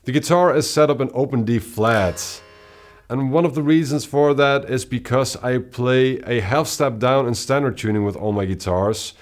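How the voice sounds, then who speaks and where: Brief: deep-voiced male guitarist, mid-pitched, calm talk to camera, steady explainer. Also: normal speed. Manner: steady, explanatory, with occasional filler sounds. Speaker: guitarist and channel host. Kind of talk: casual gear talk to camera.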